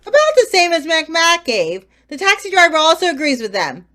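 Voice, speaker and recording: Radio-play police inspector, matter-of-fact and in charge, played by a woman, pitched very high. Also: huge pitch swings, moderate pace, pushed and bright, exaggerated voice. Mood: briefing. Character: brisk police inspector, confident. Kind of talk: community theater radio play.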